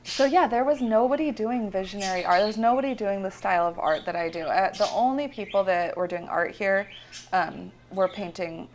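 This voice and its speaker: A woman's voice: medium pitch, moderate pace, slight background noise. A woman from California talking casually about her life and art in an interview, rambling with many fillers and restarts. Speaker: visionary artist guest. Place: her home.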